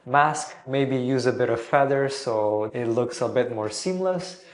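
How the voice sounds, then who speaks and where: A man from Portugal calmly explaining video composition as a tutor, with some fillers and an encouraging tone. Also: medium pitch, measured pace. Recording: roomy echo.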